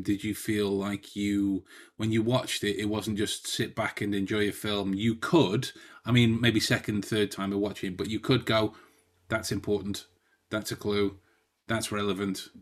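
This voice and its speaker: A man's voice: lowish voice, steady tone, measured pace. A man co-hosting a podcast in casual chat, often stumbling and repeating words as he thinks. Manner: hesitant, many repeated words and restarts. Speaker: podcast co-host.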